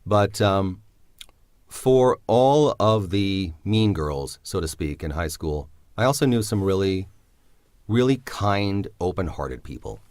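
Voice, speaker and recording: A man with a very low voice answers interview questions about his own writing. He speaks reflectively and often hesitates, and the sound is dry and close to the mic. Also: animated, slow, darkish tone, dry recording. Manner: reflective, hesitant, many fillers. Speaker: writer of the drama.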